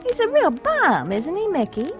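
A woman's voice: very high voice, wildly swooping pitch, moderate pace, full character voice. An actress playing a sassy, chatty young working-class girlfriend in a vintage radio drama, with slangy delivery. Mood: affectionate, wondering. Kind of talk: 1950s radio drama acting. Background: music.

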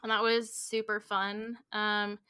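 A woman's voice: mid-range voice, monotone, slow, bright, forceful tone, clean tone, no room sound, clean studio sound. A woman in her twenties, a podcast guest, telling her story in casual chat with lots of "like" and "um" fillers. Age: 20s. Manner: rambling, filler-heavy storytelling with frequent "like" and "um".

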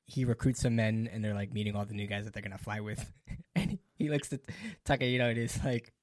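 A man's voice: lowish voice, steady tone, fast, no echo. Laughing man retelling film scenes. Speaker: film discussion co-host. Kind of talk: casual film discussion.

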